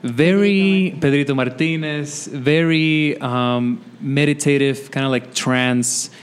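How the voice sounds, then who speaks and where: A man, a musician guest, speaking in a mellow, thoughtful way with many hesitations. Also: mid-range voice, slow, clean tone. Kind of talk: casual interview chat.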